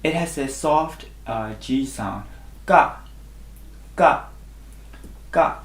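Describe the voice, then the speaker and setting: A man's voice: a little high, lively intonation, very slow, reverberant. A man whose first language is Burmese, teaching a language lesson and patiently repeating each sound three times. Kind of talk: slow instructional lesson.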